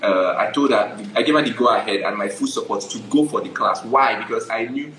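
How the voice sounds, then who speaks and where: A man's voice: lowish voice, fairly quick, a bit bright, echoey room, slight background noise, low-quality recording. A young adult Nigerian man, a photographer guest, telling his story in a casual interview, often checking "do you understand?".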